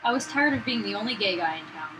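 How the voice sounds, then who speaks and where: A woman reading a visual novel aloud and bantering casually, with animated, slangy reactions. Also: mid-range voice, quick pace. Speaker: let's play co-host. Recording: noisy makeshift mic, room ambience.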